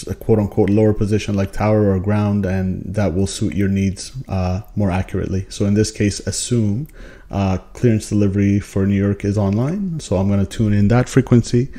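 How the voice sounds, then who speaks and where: Low, mellow-voiced man explaining casually. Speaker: tutorial host. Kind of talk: casual tutorial narration.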